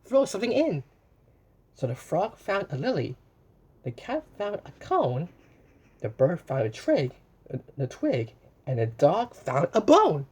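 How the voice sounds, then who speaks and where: Very animated, husky woman storyteller. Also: deep voice, measured pace. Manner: dramatic, exclamatory, child-directed. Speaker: picture-book storyteller. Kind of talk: expressive children's read-aloud.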